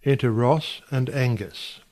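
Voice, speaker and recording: A man's voice: mid-range voice, a little slow, darkish tone. A man reading out stage directions as scripted narration for an audio play.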